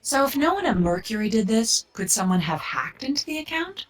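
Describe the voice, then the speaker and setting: An actress playing a direct, tough police detective in a video game. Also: female voice, fairly high pitch, very animated, a little fast, far from the mic. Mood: probing. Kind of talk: video game dialogue acting.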